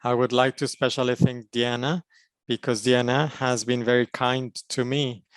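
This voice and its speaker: A man interprets a conference panel, moving through formal phrases in short, steady chunks. His voice sits at an ordinary male pitch. The sound is dry and close. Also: moderate pace, dry recording.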